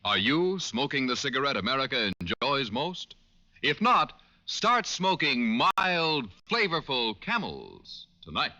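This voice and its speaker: A man's voice: mid-range voice, big pitch swings, measured pace. A man working as a radio announcer in a 1950s broadcast advertising style. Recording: old radio broadcast with loud music.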